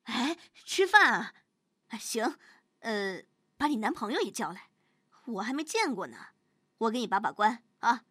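A woman's voice: a little high, big pitch swings, moderate pace, long pauses, a bit bright, no echo, clean studio sound. A woman acting a fussy, nagging older mother, chiding and motherly, in a Chinese audio drama.

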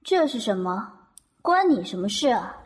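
A defiant young angel, proud, curt, high and lively in pitch, audio drama. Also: female voice, normal speed. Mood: cold. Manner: cold and curt, flaring sharply. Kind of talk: Chinese audio drama acting.

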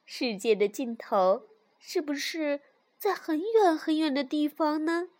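Woman as a gentle, anxious mother fox. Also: high voice, unhurried. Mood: worried, curious. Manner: expressive, coaxing.

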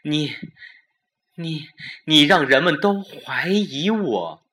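Man putting on an agitated, higher-pitched young wife. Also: animated, slow pace, sharp tone, full character voice. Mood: alarmed, incredulous. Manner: questioning, incredulous. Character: agitated newlywed woman drawn into a murder plot. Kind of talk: suspense audio drama dialogue.

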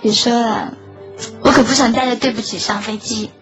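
A woman playing a teenage schoolgirl in an audio drama, narrating softly and wistfully. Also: on the high side, steady tone, moderate pace, natural voice. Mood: gentle. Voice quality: soft, wistful. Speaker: lead and narrator. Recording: dull lo-fi sound with loud music.

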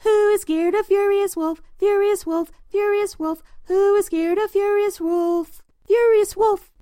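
A woman doing squeaky, singsong little pigs. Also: expressive, slow, dark tone, dry recording, clean studio sound, exaggerated voice. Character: little male pigs, small, cheeky and defiant.

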